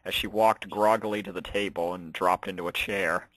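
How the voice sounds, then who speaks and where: Low-voiced man narrating a story. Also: steady tone, normal speed, a bit bright, no echo, low-quality recording.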